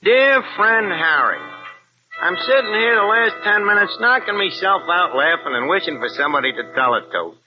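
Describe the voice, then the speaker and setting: An actor playing a boastful, streetwise small-time crook on the run, talking in slangy New speech in a 1940s radio drama. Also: male voice, sits high, animated, moderate pace. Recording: muffled old radio recording with music.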